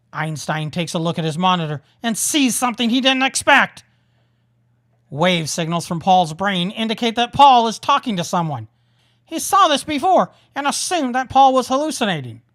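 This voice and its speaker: A woman's voice: fairly high pitch, big pitch swings, moderate pace, a bit bright. A woman narrating a scripted audio drama as the storyteller.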